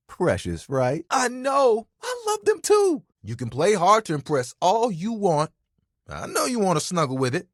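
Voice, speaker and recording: A man's voice: a little high, slightly rough, dry recording, studio quality, exaggerated voice. A man voicing a friendly, vain, dramatic living towel in a video game, chatty and playful with an easily wounded manner. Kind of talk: animated, conversational game character acting.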